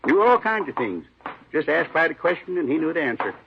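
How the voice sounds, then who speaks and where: A man's voice: fairly high pitch, very animated, fairly quick, creaky, dull audio. A simple, eager male janitor who rambles and repeats himself in homespun, ungrammatical talk. The sound is a dulled old radio drama recording with some background noise. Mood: admiring. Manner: folksy, ungrammatical, rambling, repetitive. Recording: noisy, band-limited old radio recording.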